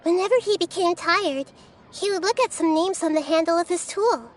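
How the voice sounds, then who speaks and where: Tiny wise goddess kid from a game, really high voice, gentle n thoughtful. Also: female voice, faint music behind. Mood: reflective. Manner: thoughtful, measured, advising. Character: gentle, wise childlike young girl deity. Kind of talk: video game voice acting.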